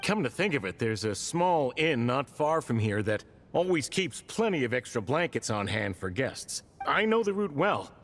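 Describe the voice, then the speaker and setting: A man playing a kindly, helpful gentleman in a video game, speaking in a friendly, polite way. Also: medium pitch, big pitch swings, normal speed, hardly any pauses, long reverb tail, soft background music.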